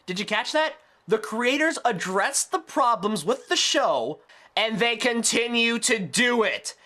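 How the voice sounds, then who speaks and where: Guy doing a ranty review, sarcastic n fed up, bright punchy voice, pitch all over. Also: animated, a little slow. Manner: sarcastic, exasperated, emphatic. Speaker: video reviewer. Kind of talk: ranting scripted review commentary.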